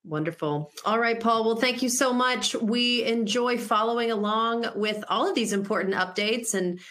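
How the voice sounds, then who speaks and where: A woman's voice: on the high side, moderate pace, fairly bright tone. Polite woman moderating an investor conference. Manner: polite, reads questions out. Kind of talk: reading audience questions.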